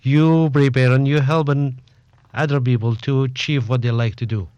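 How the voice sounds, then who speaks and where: A man speaks at a medium pitch, as a presenter in a casual interview. His phrasing is halting, built up in short pieces. The sound is very dry and a little dull. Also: fairly even intonation, a little mellow, no echo. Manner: halting, non-native phrasing. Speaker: community radio presenter, interviewer. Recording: muffled, dull, dry close recording.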